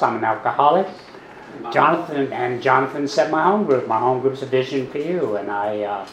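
An older man with a gravelly, rough voice and a drawl, talking quietly to a meeting. He rambles, restarts sentences and pokes fun at himself. His voice rings around an echoey hall with a faint hum of background noise. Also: medium pitch. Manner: soft-spoken, self-deprecating, meandering with restarts. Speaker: guest speaker at a recovery meeting. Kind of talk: personal talk to a meeting.

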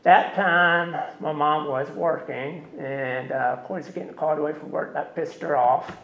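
A loud man from Norfolk, telling his personal story in a folksy way as guest speaker at a recovery meeting. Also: fairly high pitch, steady tone, a little slow, creaky. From Norfolk, USA. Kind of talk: personal testimony to a group. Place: meeting room. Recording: echoey, dull meeting-room recording.

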